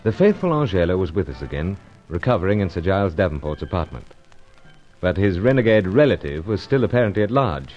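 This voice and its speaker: An actor playing a brisk, edgy secret agent in an old-time radio serial, with clipped upper-class phrasing. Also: male voice, on the low side, muffled recording.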